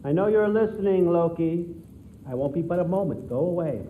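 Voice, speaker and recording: A man's voice: high voice, expressive, fairly quick, narrow, tinny audio, slight background noise. An older man acting as a folksy, paternal, manipulative god-king in a video game cutscene. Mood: impatient, firm. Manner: casual, folksy, coaxing, commanding when crossed.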